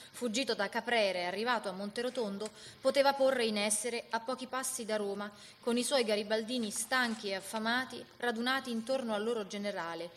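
A female narrator with a fairly high voice. She reads history for radio theatre formally and with measured phrasing, over a faint background noise. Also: a bit bright.

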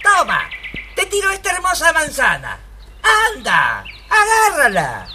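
A woman's voice: sits high, huge pitch swings, measured pace, background noise, heavily acted. A woman playing a cheeky, self-assured little pig in a children's story record, with theatrical, taunting delivery. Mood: teasing.

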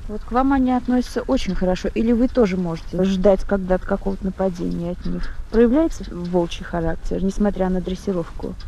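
Female interviewer, medium pitch, informal questioning, faint noise on a location recording. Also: a little fast, gravelly, few pauses, dark tone, highs cut off. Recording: slightly noisy location recording with clicks.

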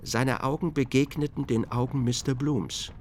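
A man reading scripted literary narration for a radio drama with precise, deliberate phrasing. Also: medium pitch, steady tone, a little mellow. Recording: clearly noisy, vehicle ambience behind.

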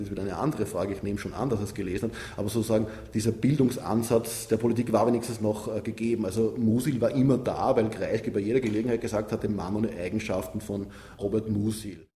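A man's voice: on the low side, mellow, reverberant. A man with an Austrian accent giving wry, conversational commentary in an interview.

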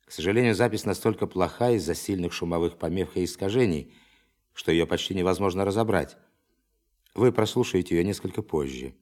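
An actor playing an authoritative official giving a formal, emphatic briefing to scientists in a radio play. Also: male voice, low voice, darkish tone, natural voice. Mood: measured, formal. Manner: formal, emphatic, commanding, dramatic pauses. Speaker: presenter of a demonstration.

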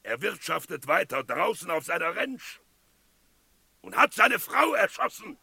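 An actor playing an impassioned, torn Western sheriff in a radio drama, declaiming in drawn-out phrases to his townsfolk. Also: big pitch swings, creaky, slightly rough, no echo, exaggerated voice. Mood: grim, commanding. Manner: declamatory, drawn-out, swinging from shouts to low firmness. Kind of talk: theatrical radio drama acting.

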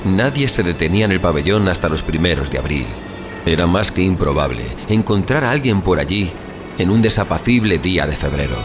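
A man's voice: sits low, lively intonation, fairly quick. A man narrating a scripted suspense horror story as an audio fiction narrator. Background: music.

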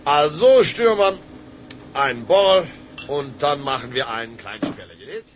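An actor playing a brusque, boisterous sea captain in a radio drama, barking orders. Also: male voice, fairly high pitch, animated, takes it slowly. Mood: jovial, coaxing. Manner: barking, commanding. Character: brusque, boisterous male tugboat captain who drinks and gambles.

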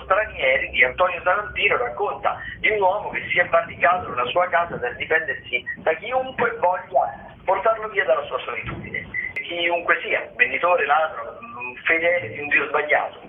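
A tinny, phone-like radio broadcast carries a fairly high-pitched man. He hosts an arts segment in loosely improvised commentary, often hesitating as he searches for the next word. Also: slight background noise. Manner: hesitant, searching for words mid-phrase. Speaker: radio host presenting theatre listings.

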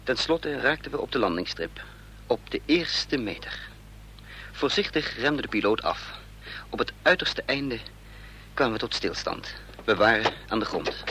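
Scherpe mannelijke verteller van een hoorspel. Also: male voice, mid-range voice, expressive, measured pace, pauses often, pushed and bright. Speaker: first-person narrator. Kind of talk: scripted dramatic narration. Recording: radio play recording with faint noise.

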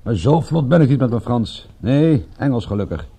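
A man playing a world-weary, tender private detective in a radio drama, speaking in a measured, intimate way. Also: mid-range voice, lively intonation, gravelly, dark tone, a little hiss or hum. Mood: wry. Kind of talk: radio drama acting with first-person narration.

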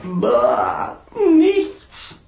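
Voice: medium pitch, wildly swooping pitch, extremely slow, a little mellow, roomy sound, a little hiss or hum, heavily acted. An actor playing a bossy, short-tempered young male vampire in a radio play, with a commanding, impatient, sneering way of talking. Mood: gruff, excited. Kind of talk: German radio play acting.

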